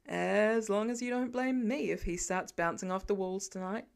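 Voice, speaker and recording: A woman's voice: medium pitch, normal speed, vocal fry, smooth, pitched below the performer's own voice, natural voice. Woman playing a dry, sarcastic protective dad. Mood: sardonic teasing.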